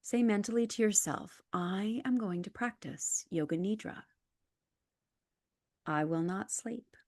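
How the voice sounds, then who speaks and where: A woman leading a guided relaxation as a yoga nidra instructor, calm and measured, with soft, breathy phrase endings. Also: medium pitch, no room sound, clean studio sound. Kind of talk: guided meditation, scripted instructions.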